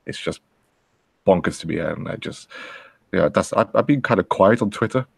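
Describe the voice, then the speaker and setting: Deep, gravelly man. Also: moderate pace, no echo, studio quality. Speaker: podcast panelist. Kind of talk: casual chat.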